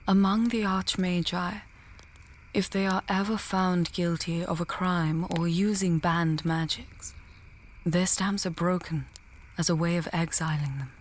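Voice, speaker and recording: A scholarly female mage from a fantasy audio drama, acted by a woman, speaks in a fairly low voice. She is earnest and measured, reasoning aloud with a dry, testy edge. A noisy ambience sits under her. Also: steady tone, natural voice. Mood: intrigued.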